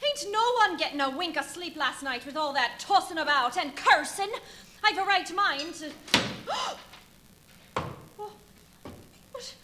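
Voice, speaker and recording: Radio play: excitable, indignant country landlady, superstitious, exclamatory, very high and sharp. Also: takes it slowly, long pauses, a little hiss or hum, heavily acted. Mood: hysterical, fearful. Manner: rustic, exclamatory. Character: superstitious, indignant village innkeeper woman, prone to hysterics. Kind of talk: live radio play acting.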